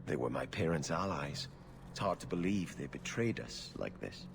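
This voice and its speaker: A man's voice: rumbling low, very animated, normal speed, creaky, noisy background, full character voice. A man playing an earnest, courteous exiled prince in a fantasy video game, speaking formally. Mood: troubled, earnest.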